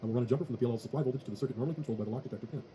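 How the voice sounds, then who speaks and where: A man's voice: medium pitch, very fast, mellow, slight background noise. A man narrating a scripted technical tutorial in a formal, methodical way. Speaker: tutorial presenter.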